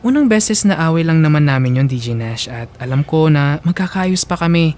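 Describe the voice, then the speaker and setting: A man narrating a radio drama in the first person, emotive and halting for dramatic effect. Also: fairly high pitch, expressive, darkish tone.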